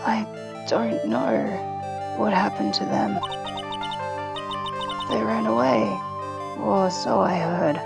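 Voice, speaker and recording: An eerie young mob heiress as acted by a woman, speaking very slowly in halting, deliberate phrases. Her voice has a heavy creak, and game music plays behind her in this fan dub. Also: mid-range voice. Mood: guarded, menacing.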